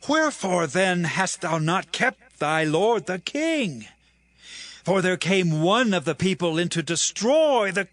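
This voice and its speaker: High, bright, earnest young warrior. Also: male voice, no room sound, full character voice. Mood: accusing. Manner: declaiming, pleading, archaic solemn diction. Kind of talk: dramatized scripture acting. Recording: music under the voice.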